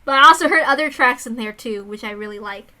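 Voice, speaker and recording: High, bright-voiced woman reading a story. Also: fast. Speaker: reader of a visual novel. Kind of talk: dramatic narration with casual asides.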